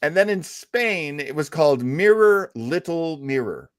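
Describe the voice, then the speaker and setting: A man's voice: a little high, expressive, measured pace, no room sound. Man chatting on a podcast, dry close sound. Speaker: podcast co-host. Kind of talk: casual fan podcast chat.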